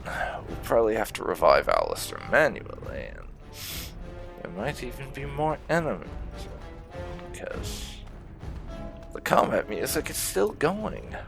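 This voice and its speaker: Man commentating with very animated intonation. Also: mid-range voice, unhurried, vocal fry, long pauses. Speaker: gaming video host. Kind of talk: casual game playthrough commentary. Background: game music.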